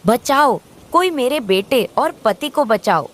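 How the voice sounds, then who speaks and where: A woman voicing a devoted, conniving crow wife and mother in an expressive cartoon style. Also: a little high, takes it slowly. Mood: panicked. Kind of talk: Hindi moral-story cartoon dialogue.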